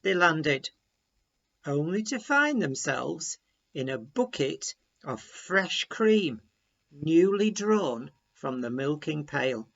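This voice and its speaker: A man reading a children's story aloud in a deliberate, expressive storytelling manner. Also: fairly high pitch, vocal fry, lo-fi sound.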